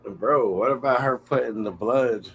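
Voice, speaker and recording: A man's voice: mid-range voice, unhurried, a bit bright, slightly rough. A man co-hosting a podcast, chatting casually with blunt, slangy reactions. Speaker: podcast co-host. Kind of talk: casual chat.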